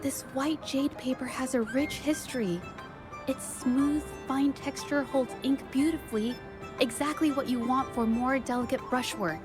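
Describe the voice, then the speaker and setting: Game role: gentle young female painter played by a woman, high voice, thoughtful and courteous, music behind. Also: moderate pace, natural voice. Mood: earnest, keen. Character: gentle, earnest young woman painter turned amateur investigator. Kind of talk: English video game voice acting.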